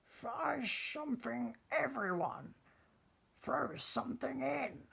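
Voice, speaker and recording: Guy doing an excitable witch lady, way up high falsetto, big theatrical kids storybook voice. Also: slow pace, vocal fry, breathy edge, full character voice. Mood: gleeful. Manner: exclaiming, theatrical.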